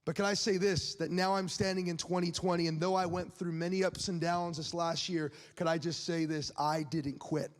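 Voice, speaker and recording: A young man in his late twenties preaches in a loose, candid way, laughing and turning to the crowd with questions. His voice sits high, and a long reverb trails his words around a large hall. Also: little pitch movement, moderate pace, hardly any pauses. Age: 20s. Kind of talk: sermon.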